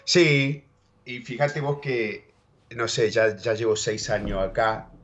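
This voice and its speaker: A man's voice: medium pitch, slow pace, a bit bright. A man with an Argentine accent, an interview guest telling stories in a rambling way, repeating words and tagging on little questions. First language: Spanish and English, bilingual. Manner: rambling, repeats words, keeps saying ¿viste?. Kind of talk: casual storytelling chat. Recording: dull lo-fi audio.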